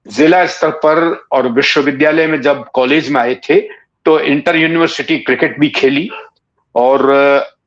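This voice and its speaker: A man in his sixties from Rajasthan reminisces in a conversational interview, telling stories in an unhurried way with hesitant pauses. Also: on the high side. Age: 60s. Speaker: interview guest, writer and former cricket commentator. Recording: dull, muffled lo-fi audio.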